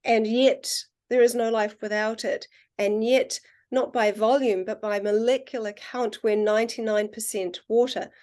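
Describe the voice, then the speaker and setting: A woman with a New Zealand accent, a podcast guest and water researcher, talking in an earnest, musing way and slowing down on her key points. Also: fairly high pitch, steady tone, a little mellow. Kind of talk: casual conversational interview.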